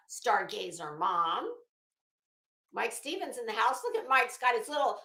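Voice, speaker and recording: A woman's voice: high voice, bright, forceful tone. A middle-aged woman chatting casually as a livestream host, greeting viewers with teasing, salty banter. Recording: echoey room.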